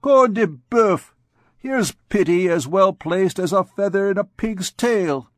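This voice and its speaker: A man's voice: a little high, lively intonation, unhurried, no room sound, clean studio sound, voice pushed up. A man voicing a swaggering young army captain who talks in a boastful, blustering way.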